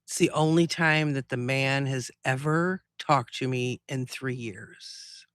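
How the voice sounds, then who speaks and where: Bright, clear-voiced man chatting casually. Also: mid-range voice, slow, dry recording. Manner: rambling, conversational storytelling. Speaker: live stream host.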